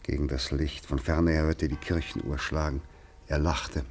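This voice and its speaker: A man narrating a radio play as scripted literary storytelling, in a measured, grave manner. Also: rumbling low, fairly even intonation.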